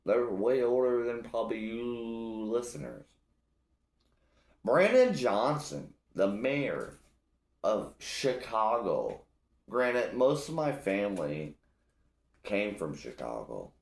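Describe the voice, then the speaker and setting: A man's voice: mid-range voice, very animated, slow, roomy sound. A young man from Illinois hosting a solo podcast, ranting bluntly with lots of profanity. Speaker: podcast host. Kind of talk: casual solo podcast rant.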